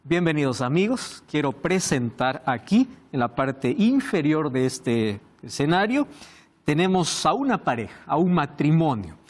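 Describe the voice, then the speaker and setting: A man hosting a Bible study program, speaking in a measured, emphatic way. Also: fairly high pitch, big pitch swings. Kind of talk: studio presentation.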